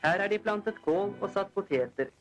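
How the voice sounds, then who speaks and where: A man's voice: high voice, animated, fairly bright tone, poor audio. A man narrates a 1940s newsreel in a formal, declamatory announcer style, on a vintage film soundtrack. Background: newsreel background music.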